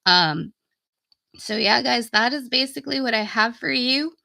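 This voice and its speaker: A woman's voice: a little high, a little slow, vocal fry, bright, forceful tone, dry recording, clean studio sound. A woman hosting a casual, folksy live stream as a coach, her voice wearing out as she comes down sick. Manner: informal, folksy, addressing viewers directly. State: getting sick, losing her voice. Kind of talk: casual live stream chat and sales pitch.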